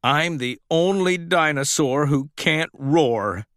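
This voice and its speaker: A timid toy dinosaur from a children's storybook, acted out by a man. The voice sits high and swings widely, anxious and pleading. The sound is close and dry. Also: lively intonation, slow pace, fairly bright tone, no room sound. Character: timid, anxious male toy dinosaur who yearns to roar.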